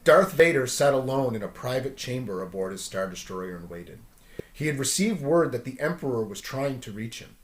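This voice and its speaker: Male storyteller: expressive, wide-ranging intonation, read-aloud delivery, roomy echo. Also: medium pitch, moderate pace, slight background noise. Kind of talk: animated story narration.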